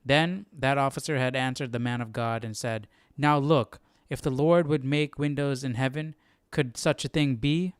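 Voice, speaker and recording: Man, smooth clear voice, close dry sound, informal Bible study host, reads then paraphrases offhand. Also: medium pitch, normal speed, hardly any pauses, no echo. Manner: informal, thinking out loud, paraphrasing in slang. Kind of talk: casual read-aloud and commentary.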